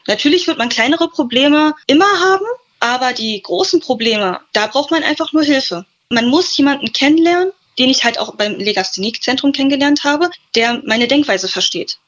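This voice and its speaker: A woman's voice: high-pitched, lively intonation, moderate pace, fairly bright tone, muffled recording. A woman in her twenties speaking directly and plainly about her own experiences in an interview. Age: 20s. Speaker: trainee. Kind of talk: personal testimony.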